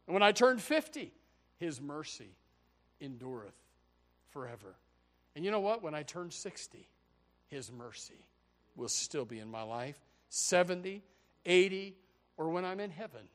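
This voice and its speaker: A man in his forties delivers a church sermon with a voice set a little higher than most men's. He surges into loud, emphatic peaks, then sinks into low, quiet stretches, hammering home the same phrases again and again. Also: very animated, unhurried, pauses often. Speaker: pastor. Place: church service before a congregation.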